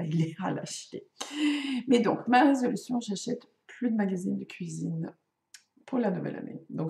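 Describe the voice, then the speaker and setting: Woman, middle-aged, french isn't her first language, low gravelly voice, chatty vlog, roomy echo. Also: dark tone. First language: not French. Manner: chatty and self-mocking, many hesitations. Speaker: knitting and crochet vlogger. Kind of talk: casual chat to camera.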